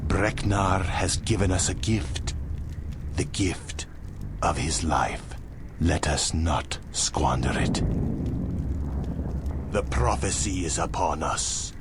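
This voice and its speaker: An actor playing a grave, commanding fantasy sorcerer in a video game cutscene, speaking formally and solemnly with theatrical weight. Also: male voice, sits low, lively intonation, takes it slowly, pauses often, noisy background, full character voice.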